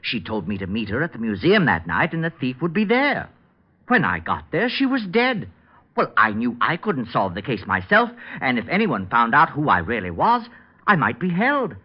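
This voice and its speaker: A man's voice: medium pitch, huge pitch swings, moderate pace. A man playing a polite, whimsical, eccentric client in 1940s radio drama style. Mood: cheerful, explaining. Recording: dull old radio recording.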